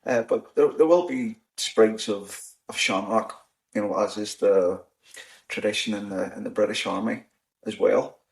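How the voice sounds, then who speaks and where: Gravelly low Northern Irish man. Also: poor audio. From Northern Ireland. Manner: measured, many restarts and "you know"s. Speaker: podcast host. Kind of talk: casual discussion. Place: in-person recording on the Shankill, Belfast.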